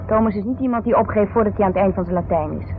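A woman's voice: medium pitch, lightly acted. An actress playing an irritable, defensive married woman, bickering in the style of 1970s radio drama. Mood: troubled, puzzled. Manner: bickering, exasperated, quick to retort. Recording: noisy narrow-band 1970s radio drama recording.

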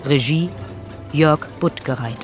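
A man's voice: a little high, slow. A man with pronunciation making formal, sober scripted announcements for a radio play. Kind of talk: scripted announcement, credits reading. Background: music.

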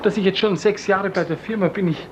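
Casting: male actor for shy, submissive bachelor clerk, high voice, halting and over-polite, muffled 1950s radio play sound. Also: steady tone, background noise, close to an everyday voice. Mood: timid, hesitant. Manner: hesitant, stammering, over-polite, apologetic. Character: timid, awkward, submissive bachelor office clerk.

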